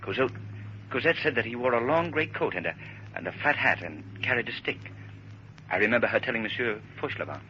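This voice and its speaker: An actor playing an earnest, anxious twenty-year-old student in a 1930s radio drama, halting and polite. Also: male voice, mid-range voice, expressive, natural voice. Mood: uneasy. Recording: muffled, hissy old radio broadcast.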